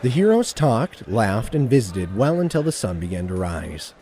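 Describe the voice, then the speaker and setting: A man's voice: on the low side, sing-song, moderate pace, warm tone, a little hiss or hum. A man narrating an action story as a dramatic, scripted storyteller.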